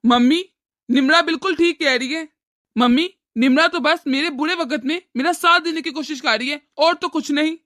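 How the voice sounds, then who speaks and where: A woman voicing an exasperated, cash-strapped adult son who protests and reasons with his mother in an animated family drama. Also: high voice, moderate pace, dry recording, studio quality. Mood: supportive.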